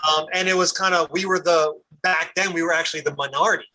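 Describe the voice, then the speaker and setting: A man's voice: fairly high pitch, fairly even intonation, quick pace, sharp tone, faint music behind. A man in his thirties, a podcast guest chatting casually and rambling, repeating words and laughing easily. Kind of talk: casual conversation.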